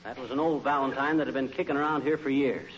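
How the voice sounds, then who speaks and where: A man's voice: medium pitch, fairly even intonation, quick pace, a bit bright, close to an everyday voice. A man with an American accent playing a grumpy, blustering middle-aged husband who hides his sentimental side, in 1940s radio comedy style. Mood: indignant, defensive. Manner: blustering, folksy, grumbling. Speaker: comedy lead actor. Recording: noisy, dull old-time radio recording.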